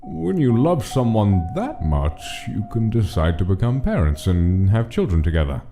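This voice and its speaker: A man voicing a gentle, sorrowful but kind fatherly monster king in a comic dub, speaking formally and carefully. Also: lowish voice, sing-song, normal speed, soft background music. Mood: patient, explaining. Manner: formal, careful, soothing phrasing. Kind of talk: dramatic comic dub voice acting.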